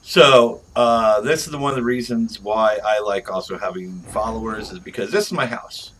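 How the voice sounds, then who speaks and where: A man's voice: on the low side, normal speed, fairly bright tone, poor audio. A man giving casual, jokey, rambling video game review commentary.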